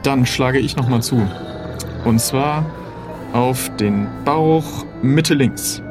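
A man's voice: mid-range voice, slow pace, music in the background. A man playing an improvised audio role-play game, thinking aloud as he announces his actions. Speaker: player in an adventure game.

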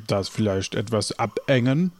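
Man playing a slow, courteous French cannibal cook. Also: lowish voice, lively intonation, a little mellow. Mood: hesitant, courteous. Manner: halting, courteous, peppered with French words. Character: polite, flattering French cannibal cook, male.

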